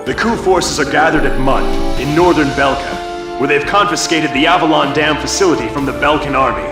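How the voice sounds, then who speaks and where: Brief: actor as stern briefing officer in a war game, fairly high male voice, echoey, loud score. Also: close to an everyday voice. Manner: formal, authoritative. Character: stern military briefing officer, formal and commanding. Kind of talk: scripted mission briefing. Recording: roomy, distant, under loud music.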